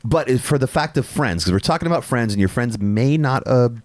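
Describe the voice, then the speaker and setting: A middle-aged man hosting a casual podcast, telling animated stories, laughing often and swearing casually. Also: mid-range voice, brisk, no room sound. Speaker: podcast host.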